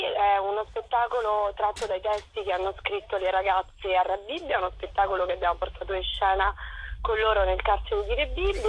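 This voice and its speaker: A woman with a mid-range voice answers interview questions as a theatre director. She speaks spontaneously over a phone line with faint noise. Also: mid-range voice. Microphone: telephone. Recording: noisy telephone line with clicks.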